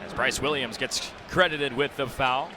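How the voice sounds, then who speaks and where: A young man calling live basketball play-by-play on the radio with quick, descriptive calls that can rise to an excited shout. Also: medium pitch, a crowd in the background. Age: young adult. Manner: rapid descriptive calls, rising to excited shouts on big plays. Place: indoor basketball arena. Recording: noisy arena broadcast with bouncing balls. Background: loud game noise, basketball bounces.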